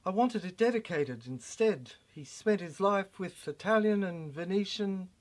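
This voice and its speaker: A woman's voice: mid-range voice, expressive, measured pace, darkish tone. A woman giving a dramatic reading of an experimental audio screenplay in short, broken, theatrical phrases.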